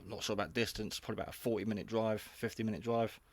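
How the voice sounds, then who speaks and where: A man with a fairly low voice talks through a casual product review. He is unscripted and rambles a little, staying matter-of-fact and modest about his own know-how. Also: fairly even intonation, very fast, vocal fry, no echo. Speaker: hobby radio reviewer.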